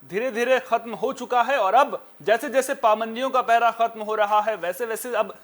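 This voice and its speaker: A man's voice: extremely high pitch, normal speed, fairly bright tone. A man anchoring a news bulletin, speaking emphatically and often restating his points. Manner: emphatic, repeats and rephrases points.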